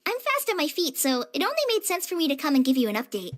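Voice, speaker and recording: A woman's voice: high voice, sing-song, fast talker. A woman voicing an earnest, polite, slightly shy teenage girl apprentice in a video game, with an eager manner. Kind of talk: game voice acting.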